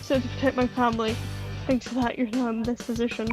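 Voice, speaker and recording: Woman acting as remorseful parent, solemn, higher pitch, game dialogue, clear background music. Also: little pitch movement, fast talker, natural voice. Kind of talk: scripted game dub dialogue. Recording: music playing behind the voice.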